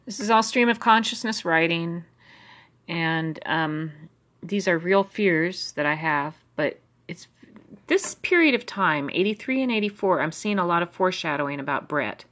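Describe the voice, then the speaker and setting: A woman's voice: fairly low pitch, lively intonation, normal speed, fairly bright tone. A middle-aged woman from the United States talks through her old journal pages in a rambling, musing way, full of fillers and asides. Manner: musing, stream of consciousness, many fillers. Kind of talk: rambling personal commentary.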